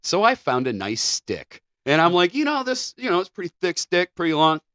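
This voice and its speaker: A man's voice: sits high, huge pitch swings, normal speed, a bit bright, dry recording, clean studio sound. A man co-hosting a podcast, telling a story casually with restarts and casual swearing. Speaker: podcast co-host.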